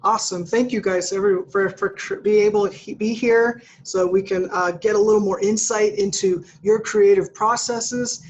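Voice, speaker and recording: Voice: mid-range voice, steady tone, normal speed, hardly any pauses, a little hiss or hum. A panel moderator hosting an online artist panel over a video call, keeping things moving with brief, upbeat interjections. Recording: slightly muffled call audio.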